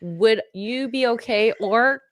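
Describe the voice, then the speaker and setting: High bouncy voice, woman cohost, teasing and laughing a lot, dry mic. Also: takes it slowly, a bit bright, dry recording. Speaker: co-host. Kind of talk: casual chat on a livestream.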